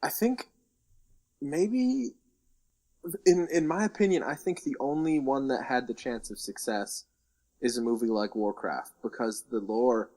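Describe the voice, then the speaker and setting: A man's voice: mid-range voice, a little slow, a little mellow. A man co-hosting a casual podcast about games and films, talking informally and tentatively as he thinks aloud. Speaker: podcast co-host.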